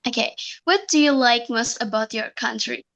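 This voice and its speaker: A woman's voice: a little high, moderate pace, a bit bright. A young Indonesian woman, a student, asking interview questions in English with a hesitant manner and many fillers. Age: young adult.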